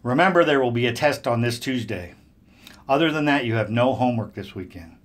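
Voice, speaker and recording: A man playing a matter-of-fact high school teacher in an audio drama. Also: lively intonation, brisk, natural voice.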